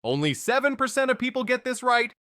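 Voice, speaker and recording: A man narrating a history quiz from a script, with an energetic, emphatic delivery. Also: very high voice, brisk, clear, resonant tone, no room sound, studio quality.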